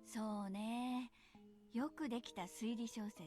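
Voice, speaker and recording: An actress playing a teasing, thoughtful middle-aged mother in a visual novel, explaining things in a coy, measured manner. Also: on the high side, steady tone, a little mellow, faint music behind, natural voice. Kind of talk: Japanese visual novel voice acting.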